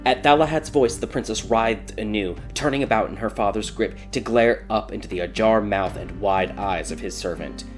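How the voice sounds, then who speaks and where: A man's voice: fairly low pitch, moderate pace, few pauses, soft background music. A man reading a scripted fairy tale as a dramatic audiobook narrator.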